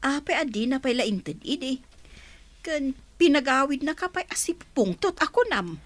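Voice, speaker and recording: A woman's voice: high-pitched, very animated, moderate pace, no echo. A woman acting as a blunt, inquisitive older mother in an Ilocano radio drama, with an Ilocano accent. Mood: scolding, curious.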